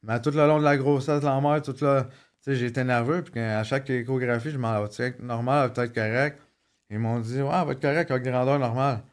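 A man's voice: medium pitch, fairly even intonation, fast. A man in his thirties with a Quebec French accent, a podcast guest telling personal stories in casual chat, joking and restarting often. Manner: joking, frequent restarts and fillers. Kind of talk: casual storytelling.

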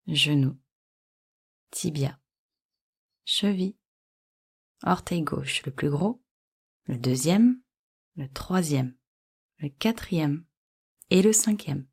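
Slow, low-voiced woman guiding relaxation. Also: breathy edge. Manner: calm, unhurried, short measured instructions with pauses. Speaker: yoga nidra guide. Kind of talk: guided relaxation meditation.

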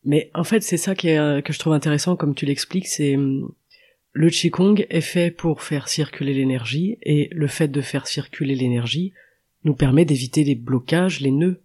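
A man's voice: a little high, normal speed, a little mellow, slightly rough. A man hosting an interview in a reflective, conversational way, rephrasing what his guest says. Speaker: podcast host.

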